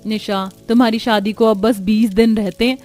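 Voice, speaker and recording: A woman's voice: mid-range voice, steady tone, moderate pace, mellow, natural voice. A woman voicing a protective young sister-in-law who gives urgent, concerned warnings in a cartoon family story. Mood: worried. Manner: urgent, cautioning.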